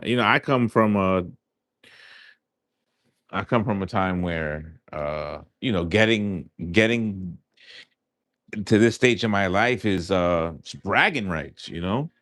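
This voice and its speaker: A man's voice: low-pitched, lively intonation, slow, long pauses, a bit bright, no echo, clean studio sound. A man in his thirties hosting a casual livestream, joking and rambling in slangy talk. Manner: joking, rambling, often says "you know what I'm saying". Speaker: livestream host.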